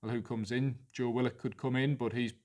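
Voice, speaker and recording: A man's voice: medium pitch, little pitch movement, a little fast, darkish tone. A man with a Geordie accent co-hosting a football podcast, talking through team news fluently and thinking aloud. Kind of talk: casual football analysis chat.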